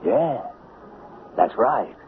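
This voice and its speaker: A man's voice: medium pitch, sing-song, very slow. An actor playing a sly, devilish con man in a 1970s radio drama, taunting and persuasive in a theatrical, insinuating way. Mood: sly, scheming. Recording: noisy, tinny vintage radio broadcast.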